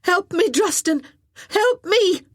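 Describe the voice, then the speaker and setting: A desperate woman pleading for her life, as a woman narrator puts her on, her pleas climbing high. The voice is breathy and close, with a dry sound. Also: steady tone, slow pace, a little mellow, no echo. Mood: desperate, begging. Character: desperate woman hanging off a scaffold.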